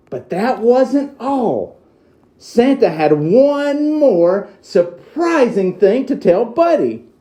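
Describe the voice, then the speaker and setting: A man playing an excitable, childlike Christmas elf who reads a storybook to children with slow, theatrical delivery. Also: sits high, exaggerated voice.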